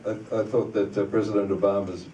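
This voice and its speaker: A man moderating a festival Q&A, hesitant and relaxed, with an easy joking manner. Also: on the low side, a little fast, slight background noise. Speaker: panel moderator. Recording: echoey hall, far from mic.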